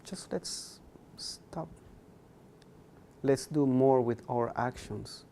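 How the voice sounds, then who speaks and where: A man's voice: mid-range voice, very slow, gravelly, warm tone, slight background noise. A young man, a Mayan speaker talking earnestly as an interview guest, repeating words for emphasis. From Guatemala. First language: K'iche' Mayan. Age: young adult.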